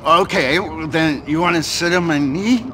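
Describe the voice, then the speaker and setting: A man's voice: fairly high pitch, normal speed, pushed and bright, a little hiss or hum. A man playing a drunken, self-pitying street Santa in a video game, whining and rambling. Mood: pleading, rambling. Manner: whiny, rambling, maudlin. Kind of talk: video game cutscene acting.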